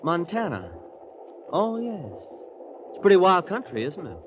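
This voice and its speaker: A wide-eyed American youth of twenty in an old radio play. His voice sits fairly high and swings animatedly, folksy and eager. The recording is dull, with music playing behind him. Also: wildly swooping pitch, measured pace, background noise, full character voice. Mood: earnest. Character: impressionable, wide-eyed, boastful small-town young man of twenty. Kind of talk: 1940s radio drama acting, first-person narration and dialogue.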